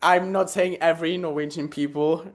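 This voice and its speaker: A man's voice: on the high side, steady tone, moderate pace. A young man from Poland, a Polish native speaker, answering interview questions hesitantly with many fillers and easy laughs. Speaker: guest.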